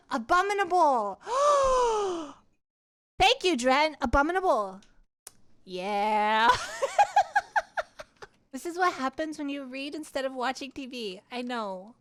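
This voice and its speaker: A woman livestreamer casually chatting with her viewers and telling personal stories in an animated, candid, giggly way, swearing freely. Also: high voice. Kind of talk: casual chat and personal storytelling.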